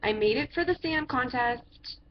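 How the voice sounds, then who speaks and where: An earnest, insistent little boy from a storybook, voiced by a woman, high-pitched and emphatic. The recording sounds dull, with noise behind. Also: lively intonation, unhurried. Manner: emphatic, earnest, stretched-out words. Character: eager, insistent little boy in a picture book.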